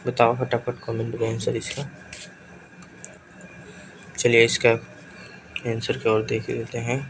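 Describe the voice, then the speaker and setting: A man with a sore throat teaches an exam-prep quiz lesson in a gravelly voice. His pitch stays flat and level as he reads out questions and options. The recording carries clear background noise. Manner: brisk, urges viewers to answer quickly.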